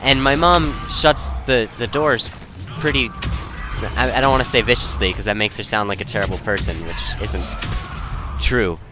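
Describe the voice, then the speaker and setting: A man's voice: medium pitch, normal speed, over music. A young man telling personal stories in a casual, rambling way, often interrupting himself to second-guess his wording. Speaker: storyteller.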